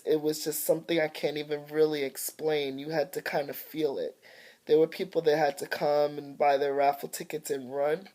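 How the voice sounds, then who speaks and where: A woman's voice: low-pitched, dark tone. A middle-aged woman, an artist, chatting casually to camera at home, a little congested from allergies. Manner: chatty, grateful, slightly congested. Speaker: artist and vlogger. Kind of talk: casual home video update. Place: at home by a window. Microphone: phone or webcam camera mic.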